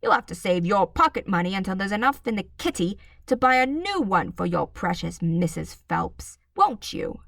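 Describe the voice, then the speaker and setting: A woman's voice: mid-range voice, sing-song, normal speed, creaky, hardly any pauses, a bit bright, no echo, studio quality. A woman voicing an irritable, bullying father who snaps and barks with contempt in a storybook reading. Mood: angry, bossy. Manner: snapping, barking, contemptuous.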